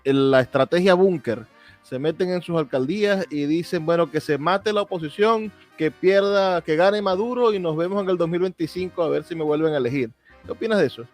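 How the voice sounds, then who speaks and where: A man with a Venezuelan accent and a slightly high voice conducts a political interview. He talks conversationally and puts direct, opinionated questions, with faint music underneath. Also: fairly quick.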